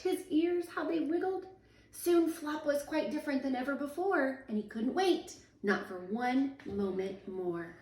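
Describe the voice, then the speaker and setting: A woman, a teacher in her thirties, reading a children's picture book aloud at home in a sing-song, expressive way. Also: high voice. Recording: echoey room, voice a little far from the mic.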